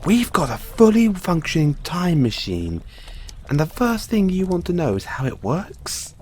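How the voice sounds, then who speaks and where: A man playing a giddy, affectionate mad scientist boyfriend in an audio roleplay, excitable and theatrical, coaxing the listener along. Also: sits high, a little slow. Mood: playful, affectionate.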